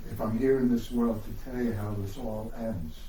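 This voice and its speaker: A man's voice: lowish voice, steady tone, moderate pace, warm tone. A man giving a live dramatic reading of a novel in a bookshop, moving between narration and dialogue. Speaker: author reading from own novel. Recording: echoey distant room recording with noise.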